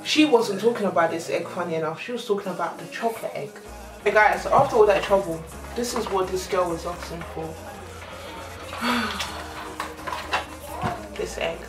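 A young woman and mother chatting to her camera at arm's length in her home kitchen, excitable and talkative. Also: medium pitch, moderate pace, pauses often, reverberant, far from the mic, loud music behind the voice, low-quality recording. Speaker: vlogger, mother. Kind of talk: casual home vlog. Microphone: handheld camera at arm's length.

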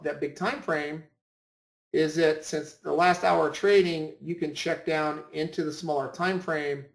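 A man's voice: a little high, gravelly. A man giving an informal screen-share lesson as an instructor, often repeating and rephrasing his points. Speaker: trading room instructor. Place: indoor room, voice over screen share. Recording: roomy, echoing voice recording.

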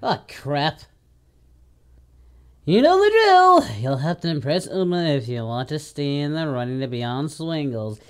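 A man's voice: fairly high pitch, big pitch swings, measured pace, clear, resonant tone. A man doing playful casual game commentary as the host, in a cartoonish character voice, exclaiming and muttering. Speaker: gaming host.

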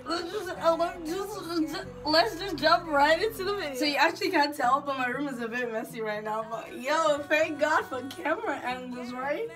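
A young woman from Ghana chatting casually and excitedly in an intro vlog, giggly and animated, recorded in a small bedroom. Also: sits high, moderate pace, few pauses. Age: young adult. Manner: bubbly, giggly, animated, gesturing. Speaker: YouTube vlogger.

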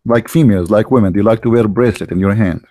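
A man's voice: lowish voice, rapid-fire, mellow, dry recording, clean studio sound. A man hosting a call-in debate show, arguing in a mocking, pushy way with rising emphasis. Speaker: show host.

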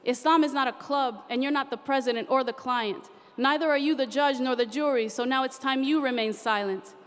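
Young woman, high voice, impassioned rhyming spoken word poet at a podium mic, big echoing convention hall. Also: fairly quick. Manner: rhythmic, impassioned, rhyming delivery. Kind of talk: performed spoken word poetry. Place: large convention hall stage. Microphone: podium microphone.

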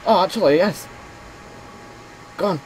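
A man commentating casually on his own gameplay, slightly hoarse as he is losing his voice, muttering reactions as he plays. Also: high voice, unhurried, slight background noise, low-quality recording. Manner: chatty, mutters reactions while playing. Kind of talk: casual let's-play commentary.

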